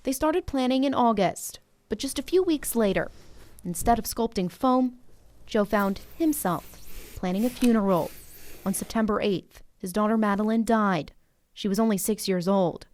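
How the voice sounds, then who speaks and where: A woman's voice: medium pitch, normal speed, darkish tone. An American woman reporter reading a scripted narration for a news story.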